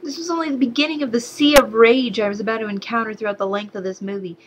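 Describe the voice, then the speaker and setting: Young woman movie reviewer, slight Southern accent, higher voice, sarcastic rants with exasperated outbursts. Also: fairly even intonation, fast, noisy background. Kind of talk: casual movie review to camera.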